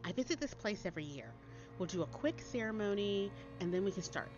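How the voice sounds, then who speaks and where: Female narrator as a pushy, breezy young American woman in a spooky story, animated, slightly muffled recording. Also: mid-range voice, a little fast, voice pushed up, lightly acted. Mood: bossy. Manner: brash, emphatic, commanding. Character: bossy, breezy young woman leading an amateur spell group. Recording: dull lo-fi audio under loud music.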